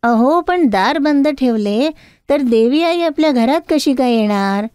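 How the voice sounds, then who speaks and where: A woman's voice: high voice, expressive, a little slow, darkish tone, clear, resonant tone, no room sound, clean studio sound, pitched above the performer's own voice. A woman voicing a scornful, stingy rich housewife in a moral tale, indignant and dismissive.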